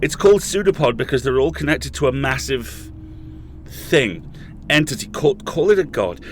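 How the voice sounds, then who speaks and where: A man's voice: medium pitch, expressive, normal speed, slight background noise, close to an everyday voice. A man playing a bitter, furious captive freed after years, speaking with dramatic emphasis in an audio horror drama. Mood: grave, intense.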